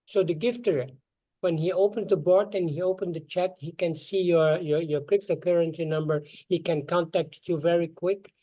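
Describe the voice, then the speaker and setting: Woman, very low voice, halting, repeats words, muffled call audio. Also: steady tone, dark tone.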